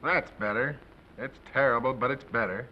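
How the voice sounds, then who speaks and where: A man's voice: medium pitch, moderate pace, close to an everyday voice. A man playing a brusque, bossy studio taskmaster in a 1930s Hollywood film. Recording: roomy, dull, hissy old film soundtrack.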